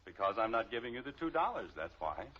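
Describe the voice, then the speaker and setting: An actor playing a weary, deadpan father with curt replies in a radio family comedy. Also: medium pitch, fast talker, breathy edge. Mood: exasperated. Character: dry, weary sitcom father at the dinner table. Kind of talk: 1950s radio sitcom acting. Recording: noisy, dull old radio recording.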